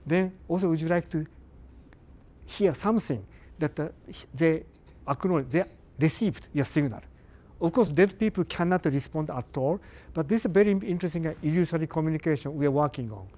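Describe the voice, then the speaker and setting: An older man giving a live lecture in halting, non-native English, pausing often and putting questions to the audience. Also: fairly high pitch, warm tone. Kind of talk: live academic talk to an audience.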